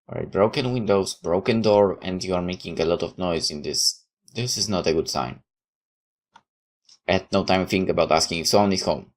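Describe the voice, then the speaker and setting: Low-voiced man reading a story aloud. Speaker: video game player reading a visual novel aloud. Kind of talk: dramatic first-person story read-aloud.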